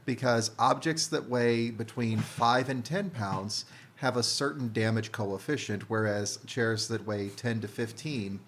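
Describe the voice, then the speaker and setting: A man's voice: mid-range voice, unhurried. Man chatting casually over background noise. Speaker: podcast co-host. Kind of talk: casual joking tabletop gaming chat. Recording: clear background noise with clicks.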